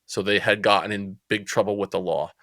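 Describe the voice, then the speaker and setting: A man in his forties with a New Jersey accent, telling stories as a podcast guest in a chatty, lively way. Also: on the low side, a little fast, no echo, clean studio sound. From Central New Jersey. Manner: chatty, animated storyteller. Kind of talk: casual storytelling interview.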